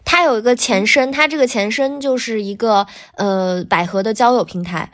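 A woman's voice: a little high, normal speed. Woman chatting casually in an interview. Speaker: guest voice actor.

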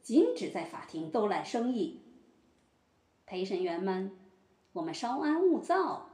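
A woman's voice: medium pitch, darkish tone. A woman playing an officious judge who formally presides over a mock trial in an audio drama. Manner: commanding, ceremonious. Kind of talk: formal scripted courtroom announcements. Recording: echoey courtroom reverb.

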